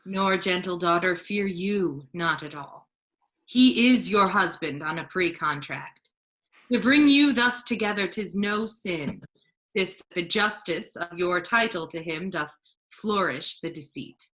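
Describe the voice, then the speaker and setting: A calm, reassuring friar who is secretly a duke acted by a woman speaks in a lowish, forward, bright voice. The verse is measured and persuasive, in the manner of a staged reading. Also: poor audio.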